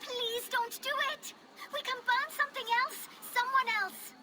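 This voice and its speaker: A woman's voice: very high-pitched, steady tone, measured pace, noisy background. A woman playing an anguished, devoted young daughter in a game cutscene, speaking with urgent emotion. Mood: desperate.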